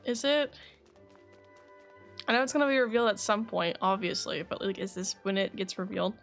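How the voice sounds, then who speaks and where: A woman livestreaming a video game, commenting casually in a giggly, exclamatory and expressive way. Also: medium pitch, fairly quick, soft background music. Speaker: game streamer. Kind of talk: livestream game commentary, reading dialogue aloud.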